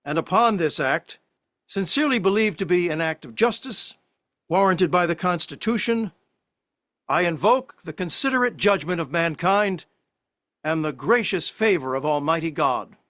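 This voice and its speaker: Man as solemn statesman, high voice, formal oratory, stage-play reading, dry sound. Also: expressive, normal speed, fairly bright tone, no room sound.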